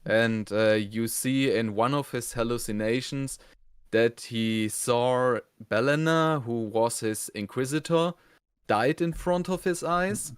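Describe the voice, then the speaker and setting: Clear-voiced man, halting, dry close sound. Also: mid-range voice, no room sound. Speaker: review show co-host. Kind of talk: casual review discussion.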